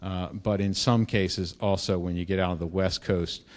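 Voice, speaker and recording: Man giving a halting keynote in a hall. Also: sits low, steady tone. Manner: halting, many fillers and restarts; explanatory, rising in energy on key points. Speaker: keynote speaker. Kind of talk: conference keynote lecture. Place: lecture hall. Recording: reverberant lecture hall.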